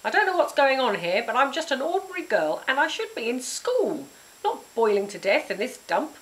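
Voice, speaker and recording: A woman's voice: high voice, big pitch swings, normal speed, pitched above the performer's own voice, low-quality recording. A woman voicing a stubborn, indignant nine-year-old orphan girl in a storybook, defiant and emphatic. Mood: furious, defiant.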